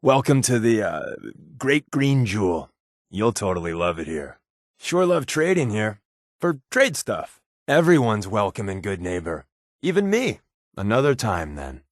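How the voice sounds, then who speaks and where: An actor playing a wry, wisecracking American undercover spy companion in a video game, with a glib, sardonic and casual way of talking. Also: male voice, lowish voice, sing-song, unhurried, dry recording, clean studio sound. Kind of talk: video game voice acting, conversational dialogue.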